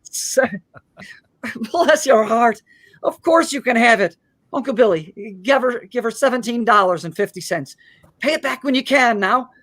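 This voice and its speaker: A man plays a kind-hearted small-town loan manager in a radio drama, his pitch swinging widely. He stammers and halts, flustered and earnest, as if always trying to persuade. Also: lively intonation, a bit bright, exaggerated voice. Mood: relieved, kindly. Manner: stammering, halting, pleading. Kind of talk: live radio play acting.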